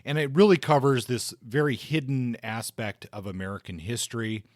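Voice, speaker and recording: A man's voice: mid-range voice, normal speed, no room sound. Male podcast host, casual and conversational reviewer, mid-range voice, very dry recording.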